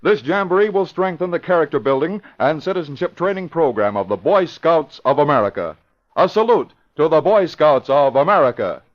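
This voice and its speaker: A man's voice: on the high side, sing-song, fairly quick. A man reading a scripted 1950s radio public-service announcement in a formal, earnest manner. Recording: dull old radio broadcast recording.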